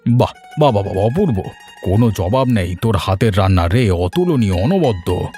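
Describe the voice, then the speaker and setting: A man's voice: on the low side, expressive, moderate pace, warm tone, faint music behind, close to an everyday voice. A man voicing a blunt, authoritative village headman in a cartoon. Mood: pleased, hearty. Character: stern, bossy male village headman who loves food. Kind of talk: Bengali cartoon voice acting.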